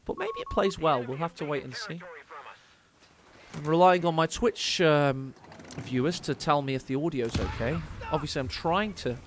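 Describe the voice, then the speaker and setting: A man commentating a strategy game match with a strained voice, speaking quietly and close to the mic. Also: a little high, background noise. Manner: excitable, hyped, quick asides. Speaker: game caster. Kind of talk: esports commentary. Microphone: close desk mic.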